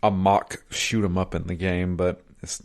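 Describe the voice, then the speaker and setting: A man's voice: deep voice, flat delivery, a little slow. A man in his thirties chatting casually as a podcast co-host reviewing games. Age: 30s. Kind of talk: casual chat.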